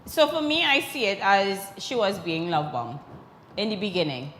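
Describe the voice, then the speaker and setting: A woman co-hosting a casual talk show, animated and quick to interrupt, repeating words for emphasis. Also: medium pitch, roomy sound, long reverb tail, a little hiss or hum. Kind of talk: casual chat.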